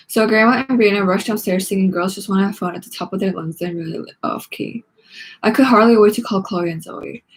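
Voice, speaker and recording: A woman's voice: medium pitch, poor audio. A woman hosting a read-along, narrating a children's book expressively.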